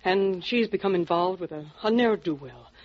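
An actress playing an anxious, genteel widow, earnest and dramatic, in a 1940s radio detective drama. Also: female voice, lowish voice, animated, moderate pace, slight background noise, natural voice. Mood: worried, pleading. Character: anxious, genteel widowed female client pleading for help. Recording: muffled old radio broadcast recording.

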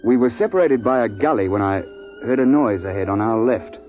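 A brisk, seasoned hunting guide acted by a man from a 1950s radio serial. He speaks with clipped old-boy authority and an exasperated edge. The sound is dull and dated, with clear music behind it. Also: mid-range voice, animated, lightly acted. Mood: vivid storytelling. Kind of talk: 1950s radio drama acting and narration.

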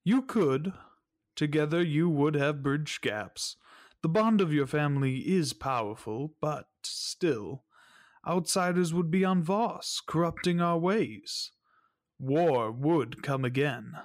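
Slow-spoken, cold alien mystic. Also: male voice, on the high side, expressive. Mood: solemn, cryptic. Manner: stilted, formal, cryptic pronouncements. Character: cold fanatical male alien mystic captor, solemn. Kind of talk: audio drama acting.

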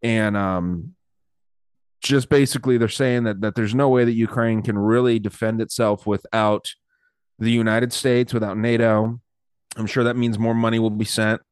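A man's voice: lowish voice, steady tone, moderate pace, clear, resonant tone, no echo, studio quality. A man hosting a podcast in casual chat, easygoing and hesitant, with many fillers. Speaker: podcast host.

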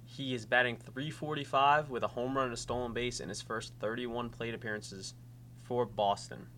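A young man with a bright, forward voice, sounding stuffy from a cold, hosts a solo sports podcast. He talks casually and often restarts his sentences. A faint hum sits under the recording. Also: medium pitch, fairly even intonation, moderate pace. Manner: casual, with frequent restarts and filler. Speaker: podcast host. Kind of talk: solo fantasy baseball podcast.

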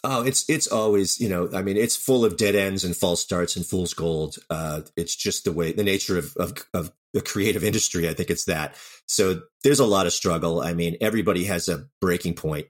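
A man's voice: low-pitched, moderate pace. A man talking casually and candidly as an interview guest, with a self-deprecating way about him. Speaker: writer-director of audio dramas, interview guest.

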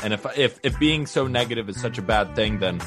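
A man co-hosting a baseball podcast, talking in an upbeat, energetic, positive way. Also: fairly low pitch, a little fast, over music. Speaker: podcast co-host. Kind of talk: casual sports podcast chat.

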